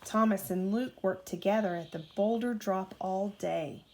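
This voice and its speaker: A woman reading a children's bedtime story aloud as a mother would, with expressive delivery. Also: medium pitch, unhurried, slight background noise. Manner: expressive, acts out character dialogue.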